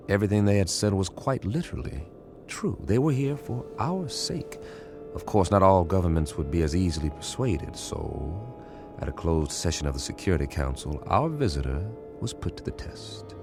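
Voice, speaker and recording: A wry male codebreaker in a radio drama, low and gravelly. His folksy, persuasive delivery swings widely in pitch, over a clear music bed. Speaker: lead actor and first-person narrator. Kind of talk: radio drama acting and narration. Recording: music underneath.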